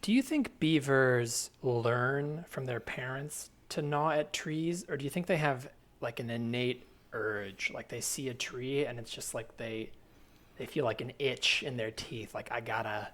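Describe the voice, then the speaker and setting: A man's voice: medium pitch, unhurried, a bit bright, natural voice. A man improvising a particular but agreeable religious man in a comedy sketch, chatty and emphatic, repeating himself for precision. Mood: curious, agreeable. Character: particular, agreeable religious man getting his face painted.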